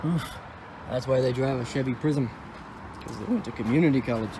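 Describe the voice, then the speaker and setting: A young man talking casually while he works over a cheap car, thinking out loud and tossing in sarcastic jokes. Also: medium pitch, fairly quick, background noise. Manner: wisecracking, sarcastic asides; offhand, thinks aloud while working on a car. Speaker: car vlogger. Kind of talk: casual vlog commentary.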